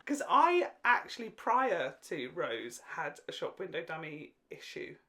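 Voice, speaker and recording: A man in his late twenties co-hosting a casual fan podcast, chatting in an animated, jokey, sweary way and wandering off on tangents. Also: on the high side, unhurried. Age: late 20s. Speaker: podcast co-host.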